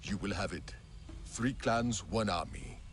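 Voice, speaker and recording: A man's voice: lowish voice, a little slow, faint music behind, natural voice. A man playing a wise, reassuring alien clan leader in a video game cutscene. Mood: solemn, reassuring.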